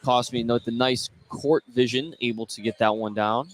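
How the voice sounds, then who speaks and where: A man's voice: medium pitch, steady tone, normal speed. A man doing live play-by-play basketball commentary on the radio. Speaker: play-by-play announcer. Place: indoor school basketball gym. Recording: noisy courtside gym broadcast. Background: clear gym noise.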